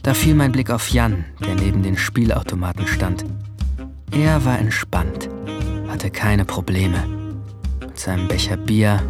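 A man's voice: on the low side, lively intonation, measured pace, music in the background. A man playing a troubled, brooding seventeen-year-old boy who narrates his own story introspectively in a radio drama. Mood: anguished, tense. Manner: introspective, literary. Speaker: narrator and lead character.

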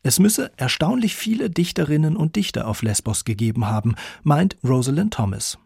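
A man's voice: fairly high pitch, very animated, moderate pace, creaky, darkish tone, breathy edge, dry recording, studio quality. A man reading scripted narration for a radio documentary.

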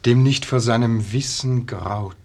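An actor playing a reverent, dreamy pupil of a painter, reciting verse in a 1950s radio drama. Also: male voice, mid-range voice, a little slow, vocal fry, darkish tone.

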